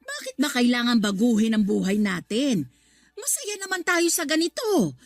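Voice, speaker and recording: A woman's voice: on the high side, huge pitch swings, normal speed, dry recording, studio quality, faint music behind. An actress playing a middle-aged mother in a Tagalog radio drama, soothing and placating, a little evasive.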